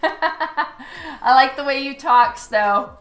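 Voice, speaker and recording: A high-pitched woman chats unhurriedly with her stream audience while she draws. Her voice is hoarse and fading from a cold. She thanks each follower and laughs easily, in a roomy space with soft music playing behind. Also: very slow. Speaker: artist streaming live. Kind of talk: casual chat with viewers while drawing.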